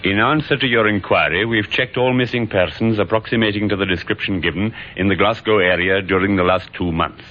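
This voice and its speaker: An actor playing a police officer delivering a formal official report in an old radio drama. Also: male voice, fairly low pitch, brisk, few pauses, natural voice. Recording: dull, noisy old radio recording.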